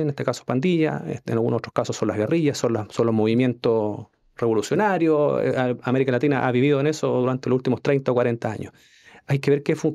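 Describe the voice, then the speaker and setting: A Chilean man speaks with a gravelly, creaky voice at a medium pitch. He is an academic guest on a radio panel, measured and analytical. He stumbles and pauses at times while he reasons aloud. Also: darkish tone. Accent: Chilean Spanish. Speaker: political science academic guest. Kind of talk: radio discussion. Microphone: studio microphone.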